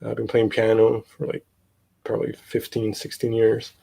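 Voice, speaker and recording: Young guy, kinda gravelly, lots of ums and restarts in a casual interview. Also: on the low side, monotone, mellow, rough recording. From Italy. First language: Italian. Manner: halting, many fillers and restarts. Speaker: guest, podcast host.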